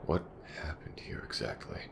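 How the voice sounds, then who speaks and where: A man's voice: normal speed, slight background noise, natural voice. A man playing a blunt, skeptical, watchful detective in an audio roleplay drama. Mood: concerned.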